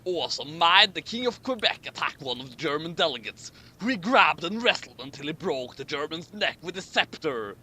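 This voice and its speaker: Male comedy reader, bright forceful voice, swinging intonation, mocking asides, stumbling and repeating lines. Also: a little high, sing-song, normal speed, hardly any pauses, a little hiss or hum. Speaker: host of a comic fan fiction reading. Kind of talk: dramatic reading with mocking commentary.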